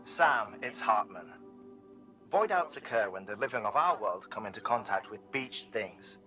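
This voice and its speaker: An actor playing an erudite, theatrical male scientist in a video game, lecturing formally and deliberately. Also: lowish voice, animated, pushed and bright, faint music behind. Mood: grave, pondering. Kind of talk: scripted game exposition.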